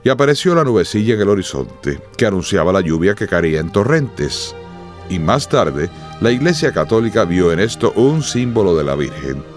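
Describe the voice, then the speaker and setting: A man giving solemn, measured, dramatic scripted narration for a radio drama. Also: low voice, very animated, faint music behind.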